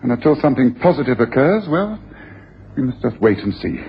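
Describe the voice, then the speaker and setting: A brainy victorian detective on an old radio play, formal, lively delivery, muffled with faint noise. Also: male voice, medium pitch, fairly quick. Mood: cool, thoughtful. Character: Holmes, cerebral Victorian gentleman detective, coolly sceptical. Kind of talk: radio drama dialogue. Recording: dull, slightly noisy radio recording.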